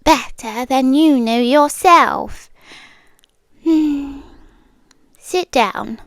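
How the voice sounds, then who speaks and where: A woman's voice: high-pitched, a bit bright, no echo, studio quality, heavily acted. A British woman playing a possessive yandere girlfriend in an intimate ASMR roleplay, speaking with coolly patient, condescending menace and dropping toward whispers. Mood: controlled, taunting. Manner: slow, deliberate, dropping into whispers, condescending sweetness.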